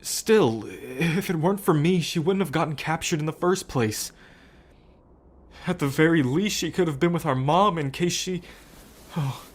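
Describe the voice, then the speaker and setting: A man's voice: fairly high pitch, unhurried. A man acting a remorseful, affectionate brother in an ASMR roleplay, with a hesitant, heartfelt delivery. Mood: guilty, distressed.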